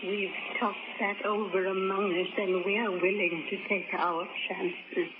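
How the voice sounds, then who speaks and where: An actress playing an anxious, devoted older wife who speaks in a tremulous voice near tears, in 1940s radio acting style. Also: female voice, medium pitch, level tone, measured pace, over music, lightly acted. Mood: earnest. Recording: tinny noisy old radio broadcast.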